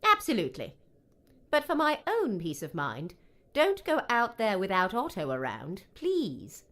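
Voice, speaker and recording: A woman's voice: mid-range voice, wildly swooping pitch, measured pace, a bit bright. A woman playing a motherly old tailor in an audiobook, fussing and chiding but caring. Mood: caring, firm. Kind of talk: dramatised audiobook character dialogue.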